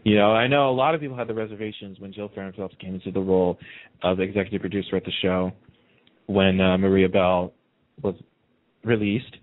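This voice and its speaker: Low-voiced man hosting over a phone-like line. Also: fairly even intonation, a little fast. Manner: emphatic, repeats phrases for stress. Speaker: radio show host. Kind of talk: casual opinionated soap opera chat. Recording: narrow, phone-like radio line.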